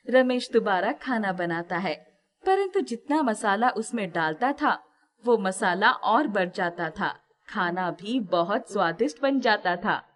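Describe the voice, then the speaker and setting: Slow-paced woman narrating a story. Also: medium pitch. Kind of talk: scripted moral-story narration.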